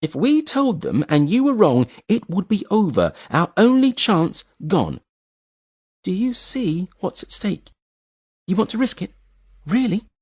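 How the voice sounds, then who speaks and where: A man voicing a frightened, bitter adult woman in an audiobook, pleading and scornful in a voice pushed high. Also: very animated, measured pace, darkish tone, breathy edge, full character voice. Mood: urgent. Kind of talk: audiobook character voice.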